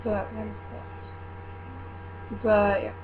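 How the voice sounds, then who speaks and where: A woman's voice: mid-range voice, drawn-out words. A teenage girl chatting casually to her viewers, rambling with many fillers and correcting herself as she goes. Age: teens. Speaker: vlogger running a book club. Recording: tinny lo-fi with steady mains hum.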